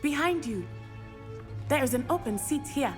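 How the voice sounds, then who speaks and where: An actress playing a friendly, welcoming teenage witch student in a video game, speaking deliberately. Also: high-pitched, expressive, faint music behind. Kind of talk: video game character acting.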